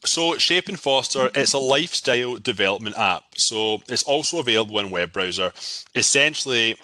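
A man's voice: mid-range voice, animated, moderate pace, sharp tone, no echo. A man from Scotland in his thirties, with a Scottish accent, chatting casually as a podcast guest, often repeating words and laughing easily. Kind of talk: casual interview chat.

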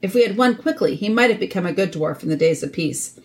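A woman voicing a proud young boy king, forceful, regal and earnest in manner. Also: mid-range voice, animated, fast, lightly acted.

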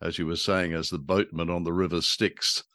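Casting: older male co-host, deep voice, close dry sound, conversational. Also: little pitch movement, moderate pace, fairly bright tone, no echo. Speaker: podcast co-host. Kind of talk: casual chat about a radio drama.

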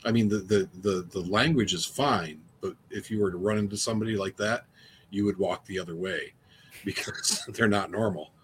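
Low-voiced man, faint background noise. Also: moderate pace. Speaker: author on a panel. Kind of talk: casual, explanatory chat.

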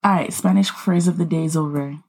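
A woman's voice: low voice, a little fast, creaky, a little mellow, husky. A young woman co-hosting a podcast in casual chat, playful and teasing, laughing often. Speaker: podcast co-host.

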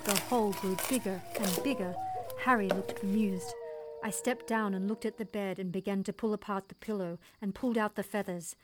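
A woman's voice: medium pitch, fairly even intonation, normal speed, noisy background, close to an everyday voice. A woman playing a resolute young settler mother, telling her story in intense, dramatic first-person narration. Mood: tense, haunted. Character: resolute young settler mother recounting a wild dog attack.

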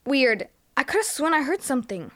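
A woman voicing Chloe, an excitable curious girl. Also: high-pitched, a little fast. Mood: rattled. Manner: wide-eyed, excitable. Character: curious young girl Chloe, easily startled. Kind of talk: children's audio drama.

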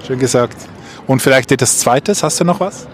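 A man's voice: mid-range voice, moderate pace, noisy background. Casual male podcast host, mid-range voice. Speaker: podcast host and street interviewer. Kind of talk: casual street interview and podcast chat.

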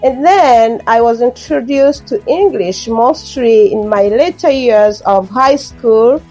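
A woman's voice: on the high side, slow pace, mellow, low-quality recording. Woman, earnest, repetitive phrasing, light music behind. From Rwanda. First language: Kinyarwanda. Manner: earnest, repeats phrases, frequent ums. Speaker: guest author. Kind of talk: interview conversation.

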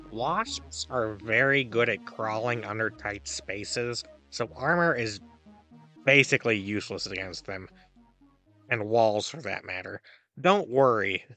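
Man playing a bright, punchy, breezy god podcaster. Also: mid-range voice. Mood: breezy, mock-reassuring. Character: cheerful deluded god dispensing absurd, dangerous advice. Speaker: advice podcast host. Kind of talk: comedic audio drama monologue, advice show.

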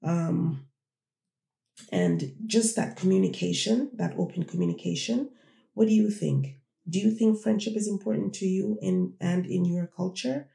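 Gravelly, mellow-voiced podcast host. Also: mid-range voice, big pitch swings, measured pace, roomy sound. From born in Jamaica, raised in Toronto. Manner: reflective, conversational, addresses listeners directly. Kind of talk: casual solo podcast talk.

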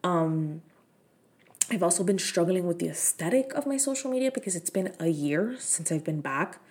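A woman in her thirties hosts a solo podcast, talking casually. Her voice sits a little low, and she chats frankly with plenty of swearing and fillers. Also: animated, normal speed, a little mellow. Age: 30s. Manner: chatty, frank and profane, with many fillers. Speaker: podcast host.

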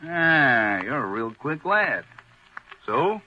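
A man's voice: medium pitch, very animated, very slow. A man acting a cynical, wisecracking hotel detective in 1940s radio style, sardonic, slangy and needling. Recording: muffled vintage radio recording.

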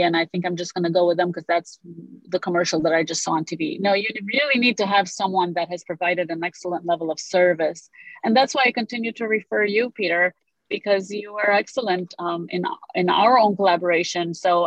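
A woman's voice: lowish voice, no room sound. Romanian-born woman broker, earnest and self-correcting, dry muffled recording. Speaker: real estate broker, guest. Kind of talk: conversational interview. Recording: dull lo-fi sound.

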